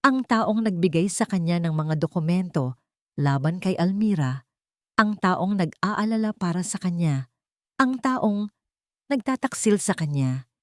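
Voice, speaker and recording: A woman's voice: lowish voice, lively intonation, a little slow, no room sound, clean studio sound. A woman storyteller reading scripted dramatic fiction in a suspenseful, emphatic manner.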